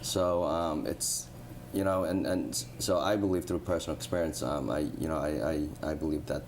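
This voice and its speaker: Male entrepreneur guest, very deep voice, earnest and halting, clear hiss on the line. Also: steady tone. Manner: earnest, with many repetitions and um fillers. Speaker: restaurant group founder. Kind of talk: interview guest sharing personal experience.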